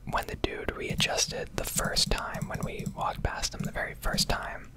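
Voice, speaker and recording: Whispering young man, casual ASMR ramble. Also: unhurried. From United States, Connecticut. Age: young adult. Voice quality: breathy, unvoiced whisper. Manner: candid, sweary, conversational. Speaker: ASMR streamer. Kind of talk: whispered ASMR rambling rant over gameplay.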